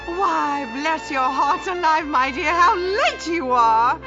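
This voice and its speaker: A woman's voice: high voice, lively intonation, slow. An actress playing a bustling, affectionate working-class mother in an audio play, with music behind her on a dull recording. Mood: affectionate fussing.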